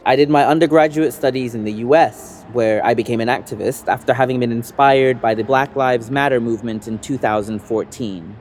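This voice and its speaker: A young adult man from Sudan, an organizer and activist, reading a scripted first-person essay in an earnest, measured, persuasive way. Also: medium pitch, a little mellow, faint music behind.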